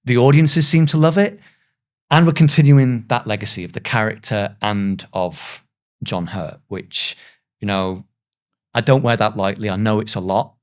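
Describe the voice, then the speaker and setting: A man's voice: lowish voice, moderate pace. Earnest, self-deprecating man in conversation. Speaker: voice actor, podcast guest. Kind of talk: reflective interview conversation.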